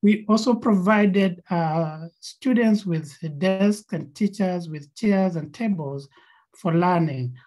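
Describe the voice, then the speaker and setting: A woman's voice: fairly low pitch, slow, dark tone. A woman with a Kenyan accent, co-founder of a nonprofit, presenting slides on a video call with frequent fillers and repeated words.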